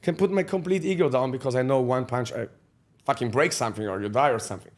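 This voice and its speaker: A man's voice: mid-range voice, fast talker. A man. He is a podcast guest telling his life story candidly in blunt, profane English with loose grammar. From Austria. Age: 30s. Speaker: guest, fight coach.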